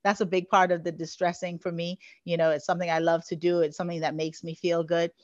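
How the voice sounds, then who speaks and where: Woman from the Bahamas, coach guest; lowish voice, muffled dry recording; earnest, rambling with fillers. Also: level tone, fast talker, no echo. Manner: earnest, flowing, many "you know" fillers. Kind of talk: interview answers.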